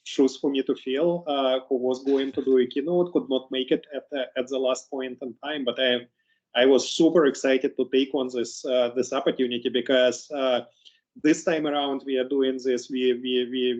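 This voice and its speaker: A man from Russia with a Russian accent giving a keynote talk, halting and often repeating words. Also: medium pitch, dull audio. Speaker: keynote speaker, engineering executive.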